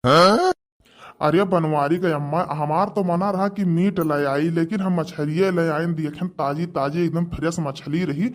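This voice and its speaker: A man's voice: a little high, steady tone, a little slow, lightly acted. A man voicing a bossy old village husband in a homemade cartoon, with an Awadhi accent and an insistent, ordering manner. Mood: demanding. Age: older. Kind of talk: homemade cartoon dialogue in rural dialect.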